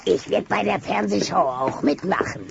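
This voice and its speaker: A man voicing a cantankerous ancient dinosaur grandmother with a gravelly voice and a dry, needling manner. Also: sits high, animated, moderate pace, background noise, heavily acted. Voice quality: creaky, gravelly. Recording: dull cassette sound.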